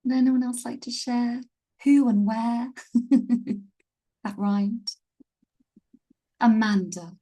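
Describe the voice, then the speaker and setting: A woman hosting a live online healing webinar, easygoing and gently encouraging, speaking over a video call. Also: fairly high pitch, fairly even intonation, takes it slowly, pauses often. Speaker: webinar host and healing teacher.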